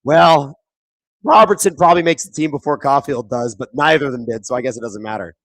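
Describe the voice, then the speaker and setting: A man with a thin, raspy, hoarse voice hosts a live hockey watchalong, chatting casually, reading viewer comments and calling plays excitedly. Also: medium pitch, a little fast, no room sound. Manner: casual, reads viewer chat aloud; excitable play-by-play on big moments. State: hoarse, voice squeaking. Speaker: watchalong host. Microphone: streaming microphone.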